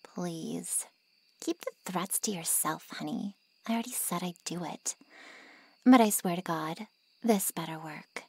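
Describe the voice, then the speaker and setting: A woman's voice: fairly low pitch, vocal fry, husky, full character voice, low-quality recording. A woman playing a sassy, defiant, foul-mouthed ally of vampires in an ASMR roleplay, sarcastic and taunting. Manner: sarcastic, taunting, drawn-out delivery.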